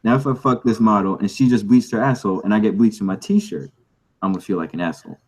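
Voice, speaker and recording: A man with a husky voice chatting casually and jokingly as a podcast host. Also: fairly low pitch, fast, darkish tone. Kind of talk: casual comedic group chat.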